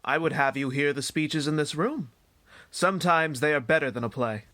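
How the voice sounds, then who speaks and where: A man's voice: on the high side, moderate pace, pushed and bright, no echo. A man playing a discreet but gossipy servant of a Duke in a period audio drama, speaking with courteous, archly formal phrasing. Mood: knowing. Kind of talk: formal period stage-play acting.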